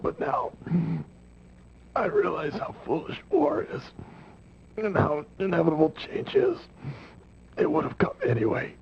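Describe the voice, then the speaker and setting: Dying rebel brother: bitter, gasping game villain, very slow, gravelly, melodramatic. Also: male voice, a little high, wildly swooping pitch, full character voice. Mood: regretful, pleading. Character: mortally wounded, defeated rebel brother, bitter and pleading. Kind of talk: melodramatic video game acting. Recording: dull, noisy 1990s game audio.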